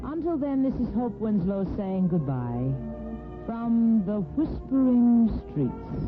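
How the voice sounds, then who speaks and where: An actress playing a kindly, wistful storyteller who hosts and narrates an old-time radio serial. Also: female voice, fairly high pitch, very animated, slow. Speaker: narrator and host. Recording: tinny old radio, music behind.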